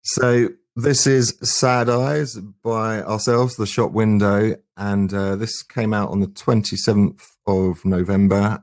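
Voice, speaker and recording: A man's voice: lowish voice, a little mellow. A middle-aged man with an English accent, chatting casually as a musician guest in an interview. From England. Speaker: band frontman and guest.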